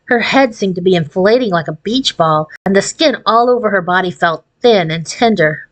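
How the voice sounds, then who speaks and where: A woman's voice: medium pitch, lively intonation, moderate pace, rough recording. Woman reading a children's story aloud. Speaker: audiobook reader.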